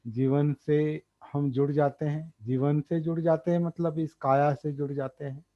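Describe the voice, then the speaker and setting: A man gives a dharma talk, thinking aloud, pausing often and repeating key words. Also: fairly high pitch, steady tone. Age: 60s. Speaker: meditation teacher. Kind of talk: slow explanatory dharma talk. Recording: dull lo-fi recording.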